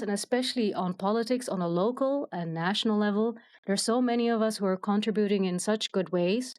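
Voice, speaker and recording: A woman speaks as a guest in an interview. Her voice is clear and resonant, at a middling pitch. She talks earnestly, in measured, speech-like phrases. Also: a little mellow. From Netherlands, Amsterdam. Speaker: guest, politician and children's doctor. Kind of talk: interview answers, earnest personal account.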